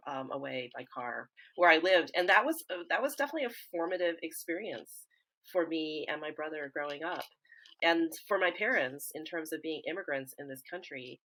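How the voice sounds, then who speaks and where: A woman from the US East Coast, a guest in an interview, speaking in a reflective, measured way with frequent fillers and restarts. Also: deep voice, a bit bright, poor audio. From East Coast of the United States. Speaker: interview guest, grief support founder.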